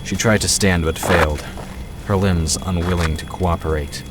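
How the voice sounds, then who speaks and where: A man's voice: low voice, steady tone, moderate pace, background noise. A man narrating a scripted fantasy story as the narrator of an audio drama.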